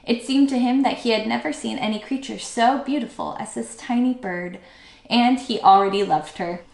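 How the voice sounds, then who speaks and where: A woman's voice: a little high, moderate pace, gravelly, darkish tone, echoey room. A woman teacher reading a children's story aloud as narrator.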